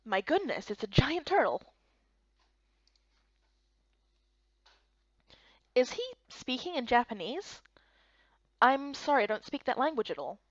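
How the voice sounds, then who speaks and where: Woman playing a curious, animated young fantasy heroine. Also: sits high, normal speed, no echo, lo-fi sound. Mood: surprised.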